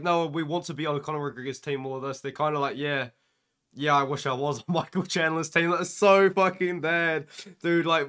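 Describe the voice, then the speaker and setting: A sick young man, losing his voice, giving an excited, rambling casual reaction vlog into a webcam indoors. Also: on the high side. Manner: excited, rambling, says "man" and "dude" a lot. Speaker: MMA YouTuber.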